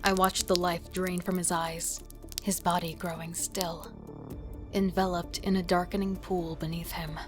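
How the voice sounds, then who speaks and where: A woman's voice: on the low side, little pitch movement, a little slow, over music, close to an everyday voice. A woman playing an intense, defiant serial killer devoted to her partner, acting and narrating in a horror audio drama. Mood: grim resolve.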